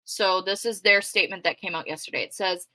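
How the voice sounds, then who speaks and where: A loud, outspoken woman talking through a livestream in a casual, conversational way and answering her chat as she goes. Her voice is bright and forceful, and it sits close and dry with no room sound around it. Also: mid-range voice, a little fast, dry recording. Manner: conversational with frequent fillers. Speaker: true crime commentator reading live chat.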